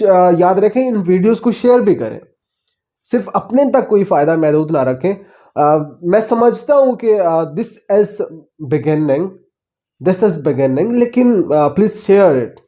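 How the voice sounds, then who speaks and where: A man from Pakistan with a Pakistani accent, teaching English vocabulary in a slow classroom style, repeating each word and quizzing his listeners. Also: sits high, mellow. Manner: repeats each word several times and asks the listener questions.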